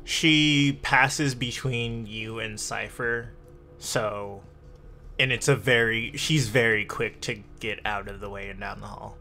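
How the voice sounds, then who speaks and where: A man's voice: on the low side, slow pace, bright, forceful tone, soft background music. A man acting as game master, narrating a tabletop roleplaying game in a casual, conversational style.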